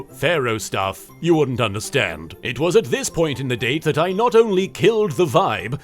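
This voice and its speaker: A man voicing a cocky, theatrical young anime pharaoh spirit, delivering a smug, boastful comedic monologue in character as a countdown host. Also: on the high side. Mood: boastful, deadpan.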